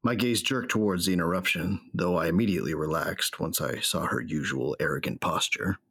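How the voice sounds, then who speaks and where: A man's voice: low voice, fairly quick, gravelly, fairly bright tone, breathy edge, no room sound, clean studio sound, full character voice. An actor playing a grieving, hard-drinking bounty hunter who narrates his story in a subdued, weary, reflective way in an audio drama. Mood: wry, brooding. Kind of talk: first-person audio drama narration and dialogue.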